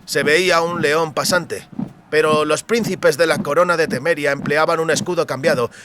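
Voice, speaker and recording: A man's voice: fairly high pitch, fairly even intonation, moderate pace, noisy background, close to an everyday voice. A man voicing an earnest, bookish young man who explains things formally and pedantically in a fantasy audiobook. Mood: eagerly lecturing.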